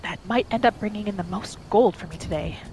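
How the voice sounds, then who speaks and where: A woman narrating her game roleplay in character, with a gentle, musing manner as if talking to herself and her pets. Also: mid-range voice, a little fast, a little hiss or hum. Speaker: roleplay gaming host. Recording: music behind the voice. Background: background music.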